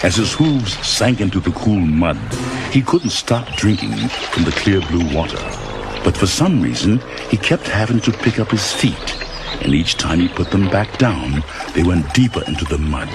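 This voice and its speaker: A man narrating a children's storybook audiobook in a dramatic, deliberate storytelling style. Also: sits low, animated. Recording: loud music and animal sounds behind.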